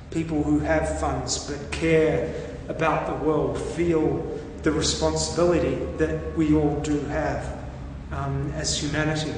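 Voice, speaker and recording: A New man talks slowly in a somewhat high voice, stopping and starting as he thinks aloud. He is recorded from a distance in a very echoey room with a long reverb tail. Faint background hiss sits under his voice. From New Zealand. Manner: slow, halting, thinking aloud with many ums. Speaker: discussion participant. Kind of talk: casual brainstorming chat.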